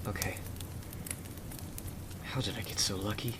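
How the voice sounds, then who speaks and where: A man acts out a gentle young Victorian gentleman, devoted and courteous, for an ASMR roleplay. His voice sits fairly low and he speaks with earnest tenderness. There is noticeable noise and rain behind him. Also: steady tone, a little slow, lightly acted. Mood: earnest, sombre.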